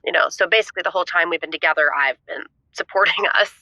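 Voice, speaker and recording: A woman playing an exasperated, flustered young caller who vents haltingly over the phone. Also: on the low side, sharp tone, no echo, lo-fi sound. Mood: nervous, explaining. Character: exasperated, frazzled young female spin instructor phoning in. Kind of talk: comic audio drama, radio caller.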